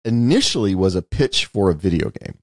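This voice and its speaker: Middle-aged American male podcast host, low register, very dry close sound, rambling casual chat with the odd swear. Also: lively intonation, a little fast, no room sound. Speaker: screenwriter and author.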